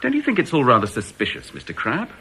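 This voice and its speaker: Insistent, courteous male detective, formal delivery with lively rise and fall, radio play. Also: medium pitch, fast talker, husky, exaggerated voice. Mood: accusing, methodical. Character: firm, coolly polite male store detective. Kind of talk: radio drama acting.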